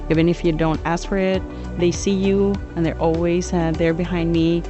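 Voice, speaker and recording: A woman's voice: on the low side, level tone, normal speed. A woman giving a testimonial as a nurse, hesitant and filled with ums and uhs. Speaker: nurse in promo video. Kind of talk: testimonial interview. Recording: lo-fi audio with music bed.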